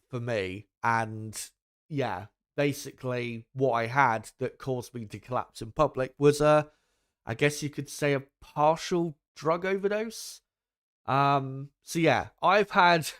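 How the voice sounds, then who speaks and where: Young man chatting to viewers. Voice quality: voice deeper than it used to be. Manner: rambling, frequent ums and asides to viewers. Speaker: livestream host. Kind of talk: casual live commentary chat.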